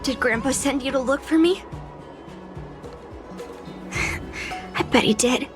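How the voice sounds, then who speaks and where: A woman's voice: high voice, brisk, over music, close to an everyday voice. A woman playing a headstrong young girl in a video game, blunt and insistent in the way she talks. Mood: suspicious. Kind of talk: English game voice acting.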